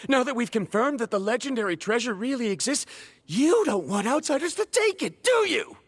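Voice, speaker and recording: An actor playing an obsessive, excitable scholar in a video game, speaking in a dramatic, grandiose manner. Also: medium pitch, fairly quick, no echo. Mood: desperate. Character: obsessive, excitable scholar desperate to reclaim lost research.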